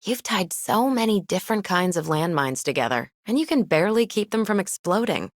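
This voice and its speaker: A woman's voice: medium pitch, big pitch swings, a little fast, dry recording, studio quality. A woman voicing a composed, candid adult female character in a video game. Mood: wry admiration. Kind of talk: scripted game character lines.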